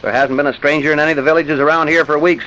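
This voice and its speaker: An actor playing a firm, probing federal investigator with an American accent, direct and questioning, in old-time radio drama. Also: male voice, a little high, fairly even intonation, rapid-fire, pushed and bright, highs cut off. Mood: accusing. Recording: noisy vintage radio recording.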